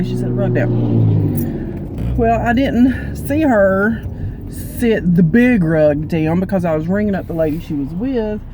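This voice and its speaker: A middle-aged woman with a hoarse, froggy voice, chatting casually and rambling while she drives. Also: mid-range voice. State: hoarse from coughing. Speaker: vlogger. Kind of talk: casual chat while driving. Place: inside a moving car. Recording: loud car road noise.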